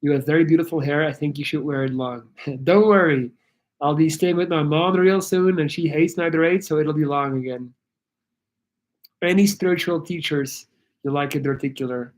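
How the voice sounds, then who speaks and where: A man from Belgium chatting casually on a livestream, answering viewer questions in a rambling, halting way with many restarts. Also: a little high. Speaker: YouTuber answering live chat.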